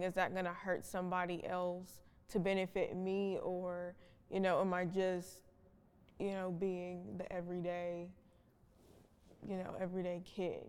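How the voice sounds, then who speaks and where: Smooth-voiced young woman in a reverberant hall. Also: lowish voice. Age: young adult. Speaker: student panelist. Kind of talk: thoughtful discussion answer.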